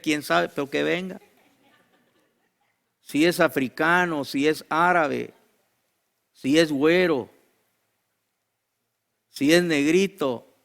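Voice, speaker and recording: Creaky-voiced Guatemalan man preaching. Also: fairly high pitch, fairly bright tone. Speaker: pastor and teacher. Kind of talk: sermon to a congregation.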